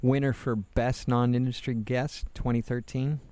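A man's voice: mid-range voice, normal speed, noisy background. A man co-hosting a podcast, joking, laughing and telling stories in casual chat. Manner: joking, laughs often, animated storytelling. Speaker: podcast co-host.

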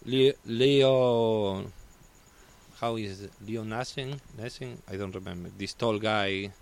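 A man from Spain with a Spanish accent, talking as a guest in casual chat, halting and restarting as he searches for words. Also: fairly low pitch, slight background noise.

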